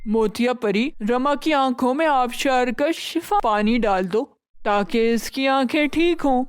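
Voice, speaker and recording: A woman playing a gentle, stately queen of the fairies in a children's fairy tale, measured and kindly admonishing. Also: high voice, clean tone, dry recording. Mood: forgiving.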